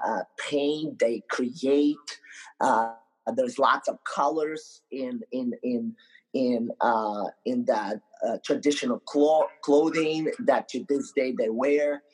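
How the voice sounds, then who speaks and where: A man with an Eastern European accent shares personal stories as a podcast guest. His pitch is mid-range, and he speaks casually, pausing often and doubling back on words. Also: slightly rough, rough recording. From Ukraine, born in Moldova. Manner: halting, with frequent hesitations and repeated words. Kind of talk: casual personal storytelling in an interview.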